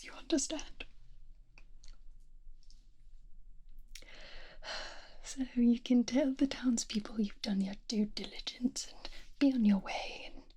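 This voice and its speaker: A woman acting out a motherly, possessive female mad scientist in an ASMR fantasy roleplay. She speaks in a soft, breathy whisper with a mellow tone. Her delivery is slow, theatrical and coaxing, with a wry edge. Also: high-pitched. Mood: polite, evasive, dismissive.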